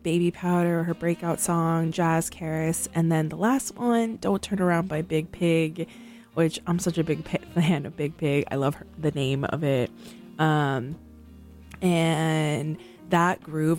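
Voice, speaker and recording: Brief: low-voiced young woman radio DJ, rambling and chatty, soft music bed underneath. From San Francisco. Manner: chatty, rambling, many ums and likes. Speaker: radio show host. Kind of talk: casual radio chat between songs. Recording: faint music behind.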